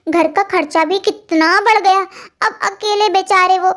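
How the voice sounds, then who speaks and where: A woman voicing a greedy, lazy young daughter-in-law with a whiny, scolding manner and drawn-out exclamations, in an animated story. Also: very high voice. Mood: sly, sugary. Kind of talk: acted dialogue in an animated moral story.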